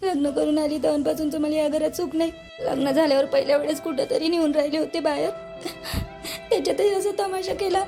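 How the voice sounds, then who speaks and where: Woman as a defiant, high-voiced young wife. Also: steady tone, fairly quick, mellow, faint music behind. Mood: tearful, hurt. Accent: Vidarbha Marathi. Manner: argumentative, rhetorical questions. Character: defiant young married woman, indignant, outspoken. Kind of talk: cartoon voice acting.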